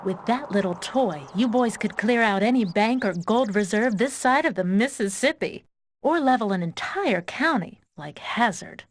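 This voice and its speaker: Smug vengeful villainess played by an actress. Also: female voice, medium pitch, animated, normal speed, a little hiss or hum, close to an everyday voice. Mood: smug, menacing. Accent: American. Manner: taunting, mock-sweet. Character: smug vengeful villainess, scheming young woman.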